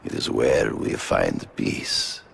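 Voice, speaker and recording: An actor playing an imposing, theatrical big-game hunter in a video game, speaking formally and slowly in a gravelly Russian accent. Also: male voice, lively intonation, a little hiss or hum, exaggerated voice. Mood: proud, theatrical. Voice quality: very deep, gravelly. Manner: theatrical, slow, formal diction without contractions. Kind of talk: video game cutscene acting.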